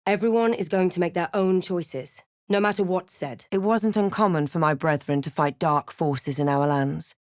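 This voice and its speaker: A woman playing a thoughtful, observant female adventurer in a fantasy game, with a measured, dry delivery that turns hushed at times. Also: on the low side, no echo, natural voice. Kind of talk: scripted game character lines and narration.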